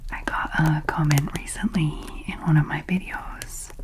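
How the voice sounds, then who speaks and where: A woman with a light Scottish accent, whispering softly and hesitantly close to the microphones as an ASMR creator. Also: low voice, steady tone, moderate pace. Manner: soft unvoiced whisper, rambling and hesitant. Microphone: close to sensitive mics.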